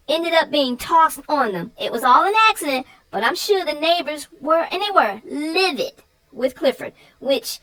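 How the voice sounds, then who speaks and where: A woman's voice: extremely high pitch, a bit bright, low-quality recording. A woman co-hosting a children's read-aloud show, chatting about a story with young viewers.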